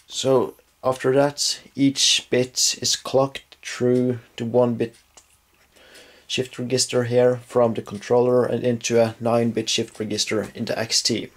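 Slow, halting young Norwegian man. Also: mid-range voice, little pitch movement. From Norway. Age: young adult. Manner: halting, frequent fillers and self-corrections. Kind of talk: hobbyist electronics demo. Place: small home room with a desk and computer.

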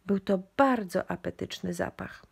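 A woman's voice: medium pitch, unhurried, vocal fry, breathy edge. A woman narrating a bedtime storybook expressively for young children.

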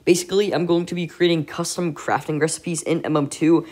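A young man records a relaxed gaming voice-over at home, his nose plainly blocked so every word comes out nasal. He rambles loosely, pausing on "uh" between thoughts, like a channel regular chatting to his viewers. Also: a little high. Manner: rambling with frequent "uh" fillers. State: congested, stuffy nose. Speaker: gaming YouTuber. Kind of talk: casual gameplay voice-over.

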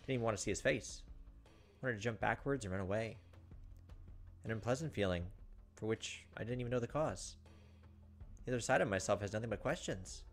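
A low-voiced man reads visual novel narration aloud in a dramatic, halting way. He sits close to a desk microphone, with faint game music behind him, and adds commentary as a host. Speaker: gaming video host.

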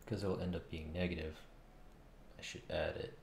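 A man with a very low voice talks himself hesitantly through coding in a casual mutter. His voice has a creaky fry, and the room sounds roomy, with noise behind him. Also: flat delivery, moderate pace, a little mellow. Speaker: game developer streaming. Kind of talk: casual thinking aloud while coding. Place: indoor room at a computer. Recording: roomy with clear background noise. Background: keyboard typing and clicks.

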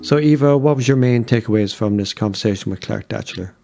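A man's voice: fairly low pitch, a little fast, gravelly, loud music behind the voice. A man hosting a podcast in a conversational style. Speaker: podcast host.

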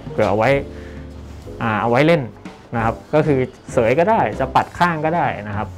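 A man's voice: medium pitch, lively intonation, moderate pace. Young man, barber, courteous explainer, faint music behind. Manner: polite, explanatory, often ends phrases politely. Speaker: senior barber. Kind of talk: hands-on styling demonstration. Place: barbershop indoors.

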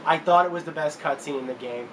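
A man's voice: on the high side, fast talker. Casual young man with noisy room sound. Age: 20s. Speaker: video host and reviewer. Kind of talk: casual commentary to camera. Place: small indoor room with bookshelves. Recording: clear steady room noise.

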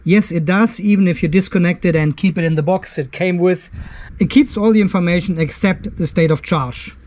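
Older man, high voice, casual vlog style, chatty, informal step-by-step technical explanation. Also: fairly quick, darkish tone. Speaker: host of off-grid DIY channel. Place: cluttered garage workshop, indoors.

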